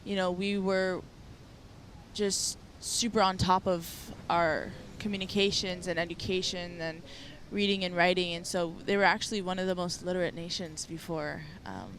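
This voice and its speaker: A woman's voice: on the low side, steady tone, normal speed, a bit bright, a little hiss or hum, poor audio. A young woman from Hawaii, a native speaker of Hawaiian, answering interview questions humbly, with many fillers. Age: young adult. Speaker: surfer being interviewed. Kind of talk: post-heat interview.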